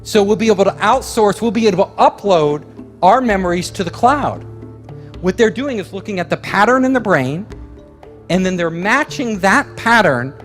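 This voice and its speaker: A man's voice: sits high, animated, moderate pace, fairly bright tone, faint music behind. A man giving a lecture in a chatty way, chuckling between points. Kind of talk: public lecture.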